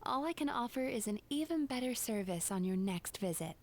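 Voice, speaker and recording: A woman voicing a reserved, proud service provider in a video game, speaking in a cool, measured way. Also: on the high side, expressive, close to an everyday voice.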